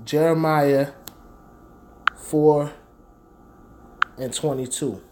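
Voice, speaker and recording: American man, slightly high-pitched voice, unhurried pace, confrontational preacher, slangy and emphatic, informal scripture teaching. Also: fairly even intonation, background noise. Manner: confrontational, slangy, emphatic, repeating phrases for stress. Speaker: street-style preacher and Bible teacher. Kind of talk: fervent informal sermon with scripture reading.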